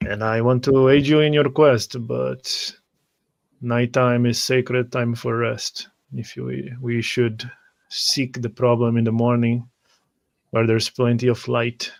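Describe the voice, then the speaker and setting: A cautious, loyal male traveler, played by a man in a tabletop fantasy game. He speaks evenly and formally, with measured pauses, in a dry close recording. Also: mid-range voice, little pitch movement, gravelly, no echo. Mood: calm, cautious.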